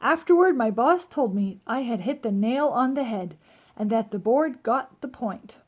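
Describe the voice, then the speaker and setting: A woman voicing an excitable, easily flustered married man in a children's storybook. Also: on the high side, a little slow. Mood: pleased. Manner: exclaiming, easily alarmed.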